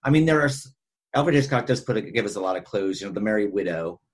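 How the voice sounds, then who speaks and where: Man, low pitch, casual podcast film talk, rambling, frequent "you know". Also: animated, quick pace, husky. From northern New York State, United States. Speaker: podcast co-host.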